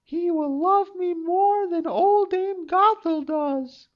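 A man voicing a gentle, innocent young maiden in a fairytale, speaking in an earnest, guileless way. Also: extremely high pitch, takes it slowly, lo-fi sound, pitched above the performer's own voice. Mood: hopeful.

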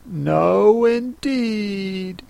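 A woman voicing a timid, polite little girl from a storybook, soft and hesitant. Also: medium pitch, drawn-out words, creaky, no room sound, pitched above the performer's own voice, full character voice. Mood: polite, curious.

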